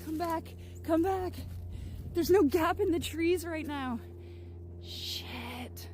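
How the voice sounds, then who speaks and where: Woman, high-pitched, excited outdoor calls, faint noise. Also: slow pace. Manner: excited, calling out outdoors at a bird. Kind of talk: clip from an outdoor live stream.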